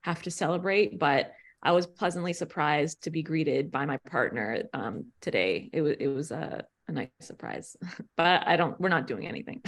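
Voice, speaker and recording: A woman's voice: low-pitched, expressive, moderate pace. A woman chatting casually about herself in a group conversation.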